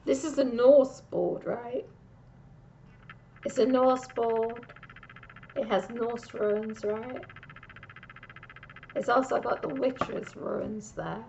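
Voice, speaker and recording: Slow gravelly woman giving a psychic reading. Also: on the high side, fairly even intonation, warm tone, a little hiss or hum. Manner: meandering, with frequent restarts and pauses. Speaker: psychic reader. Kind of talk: casual rambling psychic reading. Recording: dull lo-fi recording.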